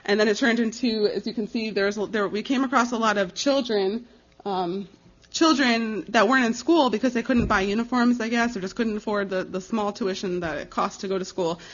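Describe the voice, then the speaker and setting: A young American woman speaking as a missionary, giving an informal slide presentation in a conversational, earnest and halting way. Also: medium pitch. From United States. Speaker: missionary giving a ministry report. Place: live talk before an audience. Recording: dull, slightly lo-fi recording.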